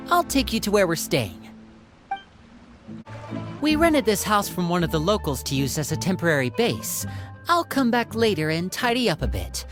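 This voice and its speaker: Woman playing a friendly polite teen boy in a game, really lively tone, courteous, music playing behind. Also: medium pitch, close to an everyday voice. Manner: courteous, expressive. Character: polite, friendly, smooth-talking teenage boy host. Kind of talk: video game voice acting.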